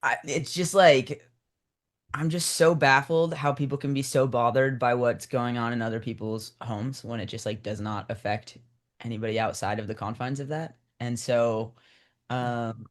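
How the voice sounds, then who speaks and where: A man's voice: medium pitch, fairly even intonation, normal speed. A man talking casually as a writer guest in an interview, informal and peppering his talk with like. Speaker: screenwriter guest. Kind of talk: casual chat.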